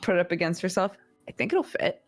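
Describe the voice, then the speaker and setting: A woman playing a tabletop game, describing her character's actions in a relaxed way. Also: lowish voice, fast, no echo, soft background music. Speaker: player. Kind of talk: casual tabletop game chat.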